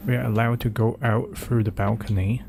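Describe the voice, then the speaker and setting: A man's voice: fairly low pitch, fairly even intonation, brisk, gravelly, background noise. A man whose first language is Cantonese, casually commentating a horror game, reading in-game documents aloud and answering chat questions. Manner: reads documents aloud, then skips ahead with asides. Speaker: streamer playing a horror game. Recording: game music behind the voice.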